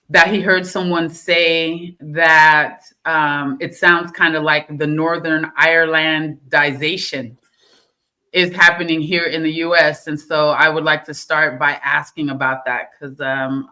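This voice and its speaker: A woman hosting a radio-style podcast, opening upbeat and asking questions in a relaxed, drawn-out way. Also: low voice. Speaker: podcast host. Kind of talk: casual interview hosting.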